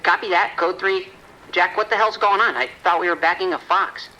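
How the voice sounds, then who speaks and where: A man's voice: high voice, steady tone, normal speed, sharp tone, low-quality recording. A man playing an uneasy, bewildered patrol deputy in an audio drama, giving terse radio reports. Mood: alert. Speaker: police deputy. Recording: radio calls with rain behind.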